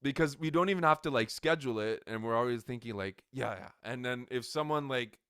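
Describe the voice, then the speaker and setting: Loud, clear-voiced chatty man. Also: mid-range voice, fairly quick, no room sound, studio quality. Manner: loud, animated, many filler likes. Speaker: podcast co-host. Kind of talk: casual chat. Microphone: quality podcast microphone.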